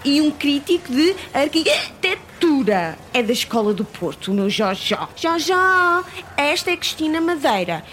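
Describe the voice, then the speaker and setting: A gushing society woman with a very high, swooping voice and a European Portuguese accent. She is affected and effusive, in radio soap style. A faint noise runs behind. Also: sing-song, measured pace, fairly bright tone, full character voice. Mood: gushing, proud. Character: gushing society woman, effusive old friend and proud wife. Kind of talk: theatrical radio soap acting.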